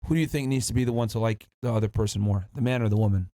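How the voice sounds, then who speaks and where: A male host pushes back on his guest in blunt, challenging questions and cuts in often. He talks quickly in a mid-range voice. The recording is dry and close. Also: fairly low pitch, dark tone, no room sound. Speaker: podcast host. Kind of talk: casual debate talk.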